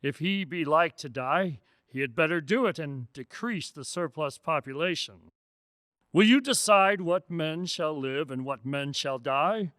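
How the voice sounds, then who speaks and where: Stern, bright-voiced Christmas spirit, acted by a man. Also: fairly high pitch, no room sound. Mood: stern, reproachful. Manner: grave, deliberate, reproachful. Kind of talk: stage-style radio drama acting.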